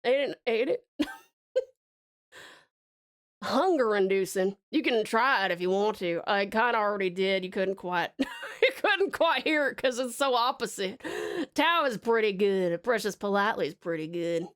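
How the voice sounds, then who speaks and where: A woman's voice: medium pitch, lively intonation, normal speed, fairly bright tone. A woman hosting a livestream in casual chat, answering viewers in a playful, giggly way. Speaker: livestream host.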